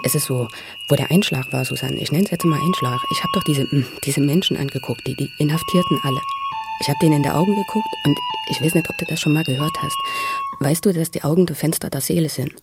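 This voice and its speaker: A man, probably middle-aged, tells his own story in a husky voice, halting and repeating words with intensity. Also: on the high side, loud music behind the voice. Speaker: interviewee telling his life story. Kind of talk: spontaneous, emotional personal storytelling.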